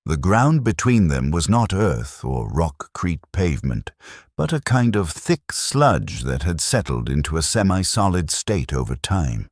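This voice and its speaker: A man's voice: sits low, sing-song, a little slow, no echo, clean studio sound. A man narrating a scripted first-person war story in a grim, matter-of-fact manner. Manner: grim, matter-of-fact delivery in short declarative sentences.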